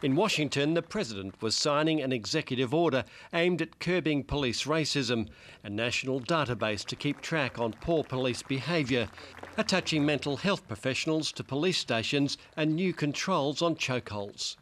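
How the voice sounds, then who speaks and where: A man's voice: mid-range voice, animated, normal speed, fairly bright tone, a little hiss or hum. An Australian man narrating a scripted TV news report as a foreign correspondent.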